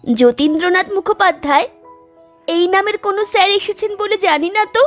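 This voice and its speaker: A woman's voice: extremely high pitch, a little fast. A woman voicing an anxious teenage schoolgirl in a Bengali cartoon. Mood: earnest. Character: anxious teenage schoolgirl who failed her exam. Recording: background music under the voice.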